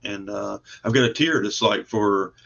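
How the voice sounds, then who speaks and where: A man's voice: on the low side, moderate pace, vocal fry, a bit bright. Earnest man on dull lo-fi audio. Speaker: comic book creator, podcast guest. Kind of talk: casual interview chat. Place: remote video call. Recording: dull, lo-fi video call audio.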